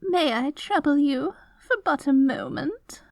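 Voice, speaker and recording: A woman's voice: sits high, lively intonation, slow pace, vocal fry, dry recording, studio quality, exaggerated voice. A woman voicing a poor, wretched old beggar woman, meek and pleading with melodramatic self-pity, in a fantasy roleplay. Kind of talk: scripted fantasy audio roleplay.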